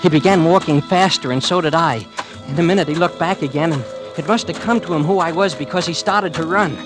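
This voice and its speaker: A man's voice: fairly high pitch, brisk, lightly acted. An actor in old-time radio drama playing a polite, troubled young male murderer, speaking in a hesitant, earnest way. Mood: agitated, breathless urgency. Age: young adult. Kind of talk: 1940s-50s radio drama acting and first-person narration. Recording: old radio recording with music. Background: dramatic music.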